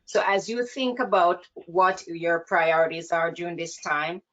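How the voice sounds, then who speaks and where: A woman with a Jamaican accent and a fairly low voice presents a counseling webinar in an earnest, measured way. Her speech comes through a video call with dull, lo-fi audio. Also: a bit bright.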